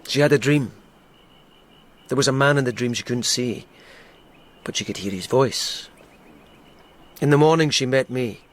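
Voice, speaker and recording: A man's voice: medium pitch, moderate pace, pauses often, a little hiss or hum, natural voice. A man as a reserved, earnest lieutenant. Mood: hesitant, tender. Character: restrained, earnest police lieutenant, reticent and thoughtful. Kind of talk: radio drama acting.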